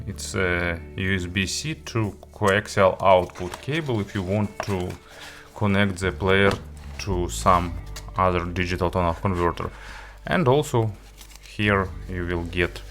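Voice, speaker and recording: A man presenting a product review as host, talking through it in a halting, hesitant way with frequent pauses. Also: low-pitched, expressive, a bit bright, faint music behind.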